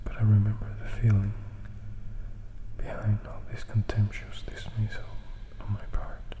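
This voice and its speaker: A man's voice: lowish voice, completely flat delivery, lightly acted. A man as a whispering, brooding vampire. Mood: bitter, contemptuous. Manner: hushed, measured, reflective. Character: brooding, regretful male vampire recounting his past. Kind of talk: whispered ASMR audiobook acting.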